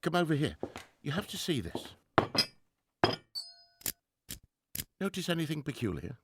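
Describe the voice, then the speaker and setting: A man's voice: fairly high pitch, big pitch swings, quick pace, heavy background noise. An actor playing a stern, driven widowed professor and father in a 1920s radio drama, with a formal, precise delivery. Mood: grave, businesslike. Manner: formal, precise, clipped scolding. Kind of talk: radio drama acting, diary narration. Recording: music under the voice.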